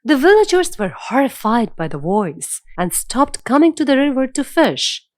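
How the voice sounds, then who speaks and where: A woman narrating a scripted children's fairy tale in a measured, dramatic storytelling manner. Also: high-pitched, sing-song, no room sound, clean studio sound.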